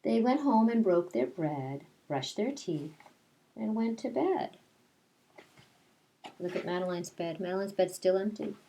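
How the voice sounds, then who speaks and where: A woman's voice: mid-range voice, animated, unhurried, pauses often, dark tone, a little hiss or hum, rough recording. A woman teacher doing story time for kindergarteners, reading aloud and explaining things simply to young children. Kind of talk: picture-book read-aloud with casual asides to children.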